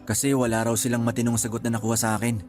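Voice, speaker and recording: Casting: man playing insecure young boyfriend, medium pitch, subdued and earnest, radio romance drama. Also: flat delivery, very fast, a little mellow, faint music behind, close to an everyday voice. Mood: dejected. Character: young, discouraged, self-doubting, affectionate boyfriend seeking work. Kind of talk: Tagalog radio drama acting.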